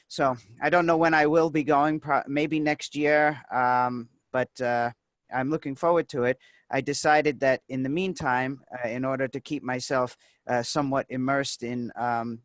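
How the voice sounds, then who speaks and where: A man takes part in a casual online group conversation. His mid-pitched voice is recorded dry, with no room around it, and he speaks in measured, hesitant phrases that often halt. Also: no echo. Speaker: session participant. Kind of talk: casual chat on a video call. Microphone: video call.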